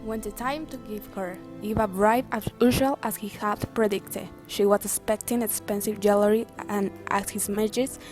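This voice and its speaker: A woman's voice: medium pitch, a little mellow. A young adult woman narrating a scripted fairytale.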